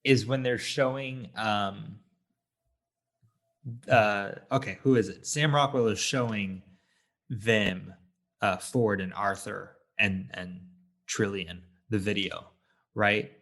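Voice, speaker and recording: A man co-hosting a casual film review podcast, talking haltingly as he searches for words. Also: on the low side, steady tone, gravelly, fairly bright tone. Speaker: podcast co-host.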